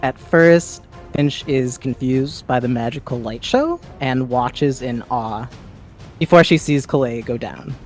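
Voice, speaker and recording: A woman's voice: extremely low pitch, expressive, measured pace, faint music behind. A woman playing a tabletop game, narrating her character's actions in the third person.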